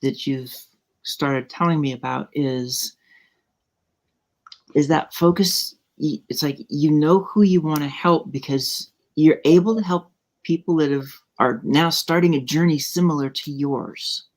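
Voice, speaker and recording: A woman hosts an online interview and draws out her guest with warm, encouraging questions. She speaks casually over a video call. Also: sits low, unhurried. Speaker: show host.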